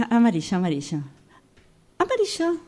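A woman's voice: a little high, wildly swooping pitch, normal speed, warm tone. A woman playing someone who ponders each reply, in a radio drama workshop exercise. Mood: pondering.

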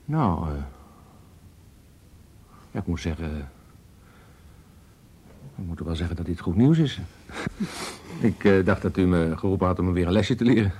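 An actor playing a proud, touchy police officer in a Dutch radio drama, talking formally and haltingly, on the defensive with his superior. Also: sits low, lively intonation, darkish tone, breathy edge, exaggerated voice. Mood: stiffly grateful.

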